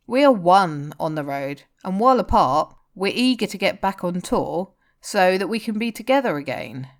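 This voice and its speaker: An earnest, lovelorn rock guitarist , voiced by a woman reading a story. The lines are character dialogue from fiction, lifted somewhat above the narrating pitch. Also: animated, normal speed, lightly acted. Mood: confiding, earnest. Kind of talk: audiobook-style character dialogue.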